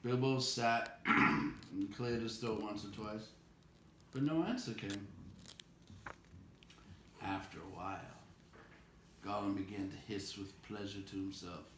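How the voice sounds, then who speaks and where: A man narrating a storybook aloud in an expressive read-aloud style. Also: fairly low pitch, slow pace, long pauses. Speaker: storybook reader. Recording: echoey room with faint background noise.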